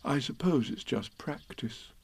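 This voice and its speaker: A man voicing a gentle, slow-witted toy bear in a children's storybook, mild and pondering. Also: medium pitch, big pitch swings, breathy edge, heavily acted.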